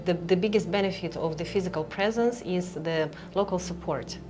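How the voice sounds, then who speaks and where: A woman's voice: lowish voice, fairly quick, a little mellow, faint music behind. A woman representing a company, answering interview questions in a giggly, hesitant way with many fillers.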